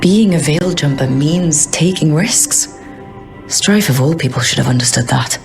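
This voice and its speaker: A voice actor as a gravelly, sarcastic elf rogue. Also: mid-range voice, lively intonation, unhurried, faint music behind. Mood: reflective. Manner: wry, sarcastic, joking. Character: sarcastic young elf adventurer, reckless rogue.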